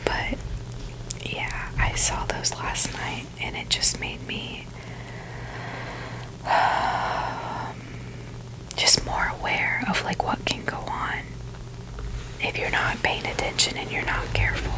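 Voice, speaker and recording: A woman's voice: takes it slowly, long pauses, poor audio. A woman whispering breathily in a rambling, informal ASMR chat, hesitant and full of "like" and "you know". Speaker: ASMR video creator.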